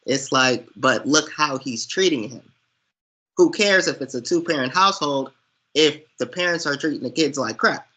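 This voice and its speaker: A man's voice: mid-range voice, sharp tone, low-quality recording. A man giving earnest, opinionated film commentary as a co-host, speaking conversationally and with emphasis. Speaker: podcast co-host discussing films.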